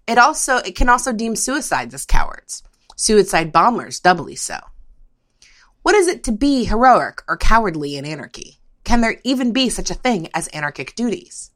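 Woman podcast presenter reading an essay out loud, measured, normal mid pitch. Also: big pitch swings. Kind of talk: scripted reading of a discussion piece.

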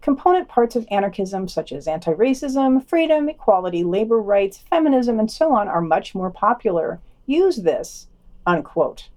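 A woman's voice: medium pitch, very animated, normal speed, a little mellow. A woman reading scripted podcast news and adding her own commentary.

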